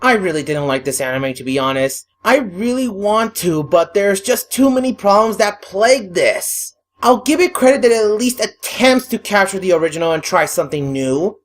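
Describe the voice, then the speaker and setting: A woman gives a scripted review in a blunt, exasperated way, free with her swearing. Her voice sits at a middling pitch, with music playing behind it. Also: animated, moderate pace, few pauses. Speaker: online anime reviewer. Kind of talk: scripted video review commentary.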